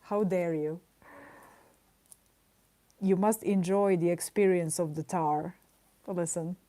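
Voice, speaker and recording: A woman with a slightly low, soft and mellow voice chats casually on a livestream while she draws. She wanders from thought to thought, laughs easily and playfully scolds her viewers with mock outrage. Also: steady tone, takes it slowly. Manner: playful, mock-indignant teasing of chat; rambling, chatty asides with frequent laughs. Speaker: livestreaming artist.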